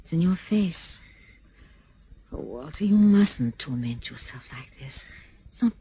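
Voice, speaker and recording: An actress playing a refined, flirtatious English society lady who speaks in a gushing, affected, breathy way. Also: low-pitched, expressive, a little slow, warm tone, full character voice. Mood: tender. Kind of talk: stage drama acting.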